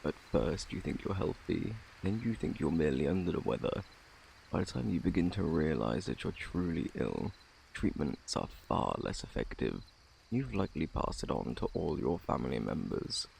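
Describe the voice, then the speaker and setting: A man with a British accent playing a polite, evasive, faintly sinister plague doctor in an ASMR roleplay, speaking softly in a formal, fussy, self-assured way with a gravelly, partly whispered voice. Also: extremely low pitch, big pitch swings, moderate pace, a little hiss or hum, full character voice, low-quality recording. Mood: grave, ominous. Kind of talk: ASMR roleplay, soft close speech.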